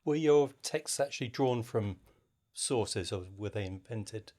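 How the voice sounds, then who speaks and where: A man working as an interviewer, putting measured questions in a literary discussion. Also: mid-range voice, darkish tone.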